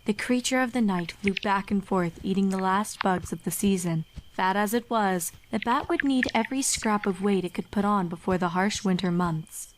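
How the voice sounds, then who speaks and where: A woman's voice: medium pitch, moderate pace, hardly any pauses, background noise. A woman reading a scripted story as a dramatic narrator. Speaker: fan fiction narrator. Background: music under the reading.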